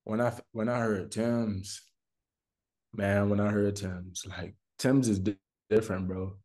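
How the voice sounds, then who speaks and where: A man's voice: lowish voice, fairly even intonation, unhurried, a little mellow. An American man from Florida chatting casually as a music artist in an interview, with slangy, repetitive phrasing. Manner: informal, slangy, repeats phrases for emphasis. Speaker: recording artist being interviewed.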